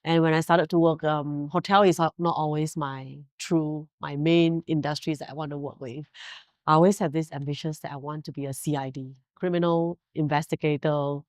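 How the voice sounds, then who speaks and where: A woman's voice: sits low, steady tone, normal speed, a little mellow, dry recording, studio quality. A Singaporean woman in her forties whose first language is Mandarin, telling her career story in a casual interview with frequent laughs and fillers. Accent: Singaporean English. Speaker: guest, hotel director.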